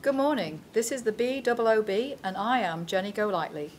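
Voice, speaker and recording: A woman's voice: medium pitch, expressive, a little fast, slight background noise, natural voice. A woman playing a formal British newscaster in her fifties, in a parody newscast at a table read. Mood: brightly formal.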